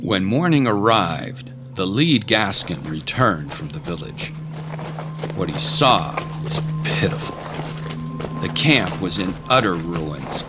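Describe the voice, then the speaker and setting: A man's voice: low voice, big pitch swings, slow. A man narrating a dramatic scripted story as an audio drama's storyteller. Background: loud music.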